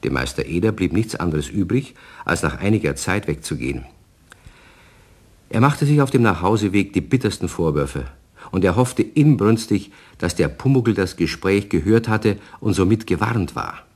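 A man's voice: sits low, expressive, normal speed, darkish tone, breathy edge. A man narrating a German children's radio play as a scripted storyteller.

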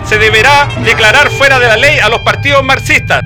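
An actor playing a stern, formal military official declaiming a proclamation in a radio drama. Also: male voice, very high-pitched, brisk, music in the background.

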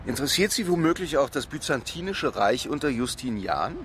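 Detective, radio crime drama: blunt, inquisitive, lively mid-range delivery over urban noise. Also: male voice, medium pitch, a little fast. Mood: probing, skeptical. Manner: blunt, probing questions. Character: blunt, questioning male police detective.

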